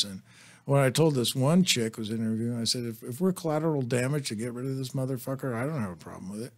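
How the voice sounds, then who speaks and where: Middle-aged punk band guest, easygoing, blunt, swears offhand. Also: male voice, medium pitch. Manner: laid-back, blunt, swears casually. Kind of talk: casual profane radio chat.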